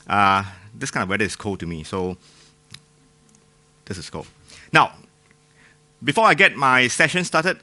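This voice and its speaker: A man's voice: medium pitch, bright, forceful tone. A man with a Singaporean accent giving an informal, joking technical talk to a live audience, often putting questions to them. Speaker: conference speaker.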